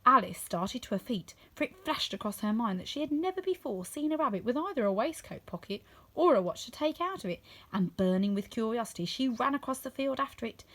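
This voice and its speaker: Animated, high-pitched woman storyteller. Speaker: storybook reader. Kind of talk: expressive children's story narration.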